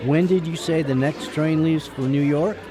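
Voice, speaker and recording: An actor playing a brusque, sardonic attorney with a soft heart in a radio drama. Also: male voice, on the high side, moderate pace, music in the background, close to an everyday voice. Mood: glum, sardonic.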